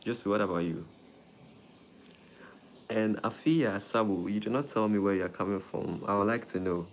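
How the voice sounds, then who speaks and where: A man from Ghana, hosting a live stream as a doctor and warmly greeting viewers by name. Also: fairly low pitch, normal speed, highs cut off, a little hiss or hum. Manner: friendly, greets viewers by name, repeats phrases.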